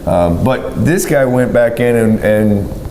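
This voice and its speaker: A man's voice: medium pitch, lively intonation, takes it slowly, mellow. A man co-hosting a gun range talk show, talking in a rambling, opinionated way full of ums and restarts. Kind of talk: casual chat. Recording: echoey room with faint noise.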